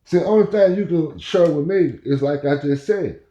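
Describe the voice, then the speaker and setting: Man in his 50s, rambling and chatty, low mellow voice, echoey room sound kinda dull. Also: moderate pace. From Oklahoma. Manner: rambling, repeats "you know where I'm coming from". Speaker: podcast host. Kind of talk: casual storytelling monologue.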